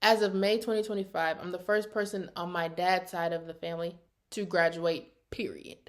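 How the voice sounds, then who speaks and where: An American woman in her early twenties chatting casually to her vlog viewers in an animated, sassy, slangy storytelling way. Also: fairly low pitch, moderate pace. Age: early 20s. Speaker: vlogger.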